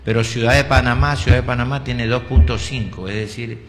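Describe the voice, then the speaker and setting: A man's voice: mid-range voice, steady tone, a little fast, in a large hall. An Ecuadorian man chatting as host on a local radio programme, from a ringing room with faint noise. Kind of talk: casual chat.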